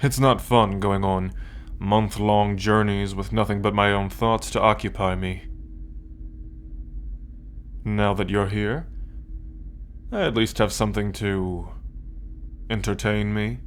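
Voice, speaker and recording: An arrogant alien warlord acted by a man speaks in a low voice, very slowly and deliberately. He is commanding, condescending and possessive, in the intimate manner of an ASMR roleplay. Clear background noise and ambience sit behind him. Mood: possessive, amused. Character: arrogant possessive male alien military conqueror. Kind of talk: ASMR roleplay, scripted monologue to listener.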